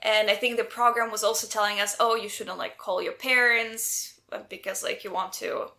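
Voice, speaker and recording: Bright, chatty woman. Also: mid-range voice, fairly even intonation, brisk. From Ukraine. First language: Russian. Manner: chatty, laughs often, many "like" fillers. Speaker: podcast guest. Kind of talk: casual conversation.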